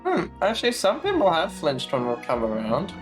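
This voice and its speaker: A woman's voice: low-pitched, huge pitch swings, moderate pace. A woman streaming a game, chatting casually with irreverent, sweary commentary. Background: game music.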